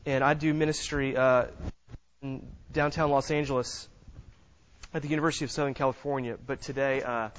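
A man preaching a sermon as a visiting minister in a church, speaking softly with many fillers and pauses, with no microphone. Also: mid-range voice, little pitch movement, highs cut off. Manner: soft-spoken, halting with frequent fillers. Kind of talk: sermon with scripture reading. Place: church sanctuary. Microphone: no microphone, distant.

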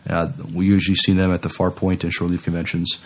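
A man co-hosting a podcast in casual chat, halting but enthusiastic as he talks. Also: low voice, flat delivery. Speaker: podcast co-host.

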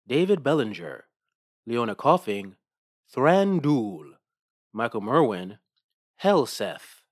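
A man hosting a podcast, reading the intro and thanking listeners in a brisk way. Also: medium pitch, dry recording, clean studio sound. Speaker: podcast host.

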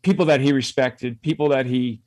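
A man's voice: medium pitch, steady tone, darkish tone, no echo. A man talking as a guest on a radio show, stopping and restarting his sentences often. His voice sits mid-range and sounds close and dry, with no room around it. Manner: halting, many restarts and fillers. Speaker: guest, mentoring volunteer. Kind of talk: casual interview talk.

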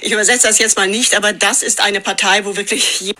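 Bright-voiced woman giving commentary. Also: mid-range voice, steady tone, quick pace, a little hiss or hum.

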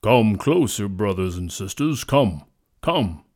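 A man voicing a mighty lion, king of the forest, with a deep, powerful, booming voice and solemn authority. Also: very animated, a little mellow, heavily acted. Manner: solemn, slow, authoritative proclamations.

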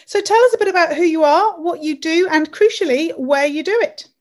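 A woman's voice: very high voice, a little fast. A woman hosting a podcast, reading her intro and then asking friendly, encouraging interview questions. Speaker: podcast host.

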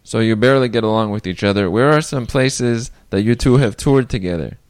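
A man's voice: on the low side, fairly quick, clean tone, no room sound. A man hosting a podcast interview, asking questions in a casual, joking, rambling way. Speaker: podcast host.

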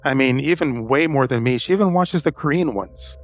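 A man's voice: medium pitch, a little fast, slight background noise. A man hosting a podcast interview in a conversational style. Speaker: podcast host.

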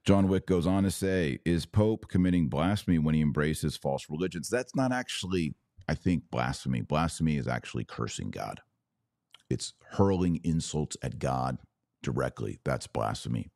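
A man with a slightly raspy voice, worn from hours of talking, hosting a casual religious commentary podcast in short, emphatic phrases. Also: rumbling low, animated, normal speed, a little mellow, dry recording, studio quality. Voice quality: a little raspy. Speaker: podcast host.

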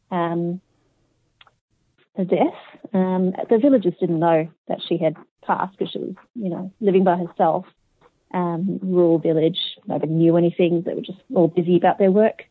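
A woman with an Australian accent, answering interview questions as an author in a hesitant, thoughtful way with many pauses. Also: fairly low pitch, steady tone, breathy edge, no echo. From Hong Kong. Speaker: author guest. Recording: tinny phone-like line, dry.

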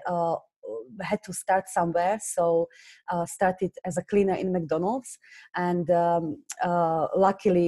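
A woman from Slovakia speaks with a Slovak accent in a low, mellow tone. She tells her story earnestly in an interview, often stopping on small fillers as she goes. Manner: earnest, hesitant with many fillers. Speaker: guest, business coach. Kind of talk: conversational interview.

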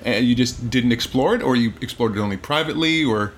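A man's voice: medium pitch, fast talker, a little hiss or hum. Chatty male podcast host. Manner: rambling, friendly, full of restarts. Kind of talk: casual podcast interview.

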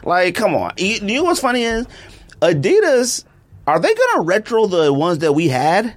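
A man's voice: high-pitched, normal speed. A man co-hosting a podcast in casual chat, ranting with lots of laughter. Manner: animated, ranting, laughs often. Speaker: podcast co-host.

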